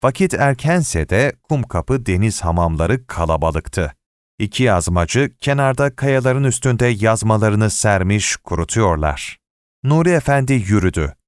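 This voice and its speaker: A man reading a short story aloud as an audiobook narrator, in an expressive storytelling manner. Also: on the low side, clean tone, no echo, studio quality. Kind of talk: scripted short story narration with acted dialogue.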